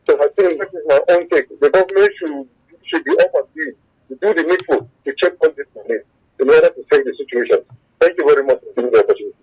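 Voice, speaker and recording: A man's voice: a little high, big pitch swings, fast talker, a little mellow, breathy edge, highs cut off. A man with a Nigerian accent calling in to a radio show, giving opinions emphatically, repeating himself and searching for words. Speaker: phone-in caller. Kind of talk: opinionated radio call-in talk.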